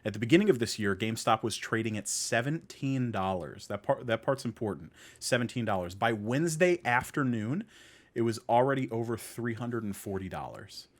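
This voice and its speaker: A man's voice: mid-range voice, animated, fairly quick. A man hosting a podcast in a casual, conversational style, stressing key points by repeating them. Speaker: podcast host.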